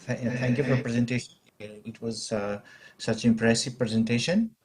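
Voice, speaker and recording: A man's voice: medium pitch, fairly even intonation, normal speed, a little mellow, breathy edge. A man from Nepal, asking a rambling question in a webinar, full of fillers and "you know".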